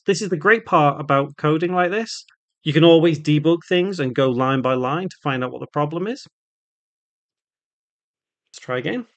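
Man presenting tutorial, slightly higher-pitched voice. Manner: instructional, thinking aloud. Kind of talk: unscripted step-by-step software tutorial voice-over.

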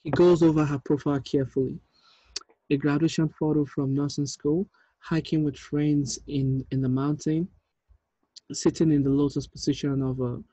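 A man in his thirties reading screenplay directions aloud in a measured, deliberate way, with small stumbles. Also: fairly high pitch, warm tone, no room sound, highs cut off. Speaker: writer reading stage directions at a table read. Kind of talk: script narration, reading aloud. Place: indoor room, on a video call.

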